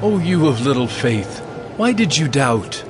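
An actor playing Jesus as a commanding, reassuring teacher in a slow, declamatory dramatized scripture reading. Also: male voice, mid-range voice, sing-song. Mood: reproachful. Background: loud music.

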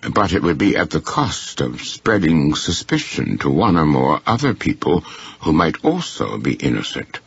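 A man voicing a courteous, shrewd, unflappable gentleman in a story, speaking formally and with deliberate precision. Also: very deep voice, very animated, creaky, husky, lo-fi sound, exaggerated voice. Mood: calm, reasoning.

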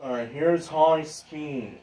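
A man giving a casual, informal hobbyist demonstration in an unhurried, rambling way. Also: fairly high pitch, mellow, rough recording. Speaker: ceiling fan hobbyist vlogger. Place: indoor room with exposed pipes and ductwork.

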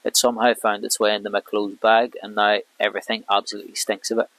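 A man in his thirties, a fishing vlogger, calmly explaining each step of his rig while working outdoors by a lake with a clip-on mic. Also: on the low side, quick pace, rough recording. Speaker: carp angler vlogger. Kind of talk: casual how-to commentary.

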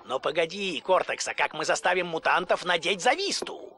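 A man's voice: a little high, animated, moderate pace, over loud music. An actor playing a nervous, twitchy mad scientist in a game dub, jittery and self-important.

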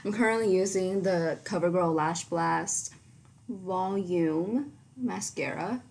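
A teenage girl chatting casually through her makeup routine in a small bedroom, rambling with lots of ums and likes, her voice fairly low for her age. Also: takes it slowly, a little mellow. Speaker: beauty vlogger. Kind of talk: casual makeup tutorial chat.

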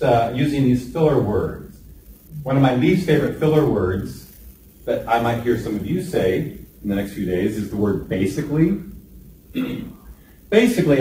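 A man in his forties leading a presentation skills workshop, calling on trainees by name and slowing down to stress his points. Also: medium pitch, a little mellow, a little hiss or hum. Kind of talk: classroom workshop lecture. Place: large training room indoors. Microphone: room camera from a distance. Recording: echoey room, distant mic.

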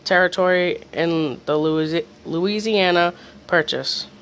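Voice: mid-range voice, measured pace, fairly bright tone. Someone casually reading quiz questions aloud, sometimes stumbling over the words, in a room at home. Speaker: quiz reader. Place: small room in a home, off camera. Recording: faint background noise, homemade video.

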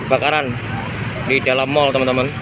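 A man's voice: mid-range voice, flat delivery, brisk. A man reporting live from the street on his phone, describing the scene to his viewers in an urgent, repetitive way. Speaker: citizen reporter vlogger. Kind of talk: on-the-spot phone video report. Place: outdoors at night by a mall fire, busy street. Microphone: phone camera held by the filmer. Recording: phone recording with loud street noise.

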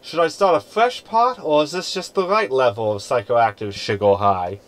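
An actor playing a sardonic, unimpressed holographic crewmate in a science fiction audio sitcom. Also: male voice, mid-range voice, normal speed, close to an everyday voice. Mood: snide. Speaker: actor in sci-fi comedy audio drama.

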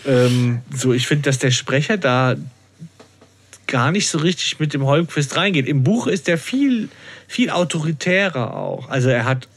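A man chatting casually as a podcast co-host, in a colloquial, animated-storyteller way of talking. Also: on the high side, a little slow. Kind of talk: casual podcast discussion of an audio drama.

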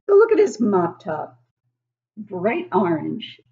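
A woman in her sixties reading a picture book aloud to young children, expressive and exaggerated, often putting questions to the listeners. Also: medium pitch, takes it slowly, gravelly, lo-fi sound. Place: living room at home, indoors.

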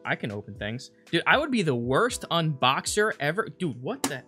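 A man's voice: a little high, big pitch swings, moderate pace. A young adult man hosting an unboxing video, chatting casually in a small room indoors. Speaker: host of card unboxing videos. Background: background music.

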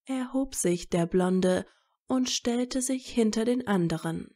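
A woman reading scripted romantic fiction as a narrator in soft, measured storytelling. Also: on the high side.

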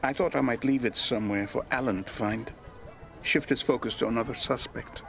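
An actor playing a smooth, scheming village landlord in a radio soap. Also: male voice, medium pitch, fairly quick, a bit bright, soft background music. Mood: sly, teasing. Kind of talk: naturalistic radio soap acting.

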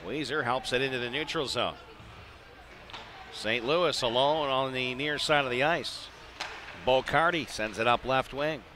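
A man with a bright, forceful, medium-pitched voice calls a hockey game live, radio style. He speaks in short clipped phrases and drops in chatty asides. Faint rink noise sits behind him. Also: a little slow. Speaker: play-by-play hockey announcer. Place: indoor ice arena.

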